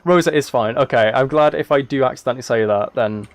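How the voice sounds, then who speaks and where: A man's voice: medium pitch, fast talker, dry recording. British man chatting on a close, dry mic. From United Kingdom. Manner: rambling, self-deprecating, apologetic. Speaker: live streamer playing a video game. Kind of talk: casual livestream chat while gaming.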